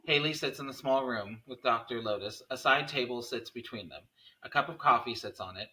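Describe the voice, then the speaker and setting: A man's voice: medium pitch, a little fast, sharp tone, rough recording. A man reading screenplay stage directions as scripted narration at a table read.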